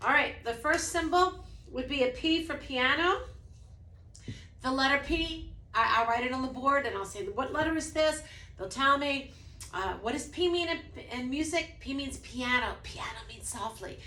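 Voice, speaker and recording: A woman's voice: moderate pace, bright, forceful tone, roomy sound, a little hiss or hum. A woman who teaches music to preschoolers, talking through a lesson casually and breaking into short sung examples. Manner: demonstrates by singing snippets, then speaks casually; says she has a low voice.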